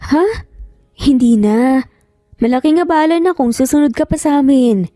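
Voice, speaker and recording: A storyteller puts on an earnest young Filipino woman, a mother with emotion in her high voice. It is audio romance drama with a soft tone and a roomy echo. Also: moderate pace, clean studio sound, lightly acted. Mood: grateful. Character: earnest young mother, worried grateful daughter. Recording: roomy echo, faint music behind.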